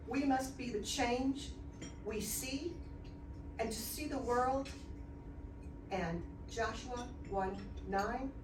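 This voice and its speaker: A woman's voice: on the high side, background noise. A woman hosting an event, reading out a formal, admiring introduction of the speaker. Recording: echoey room from far off, clatter behind.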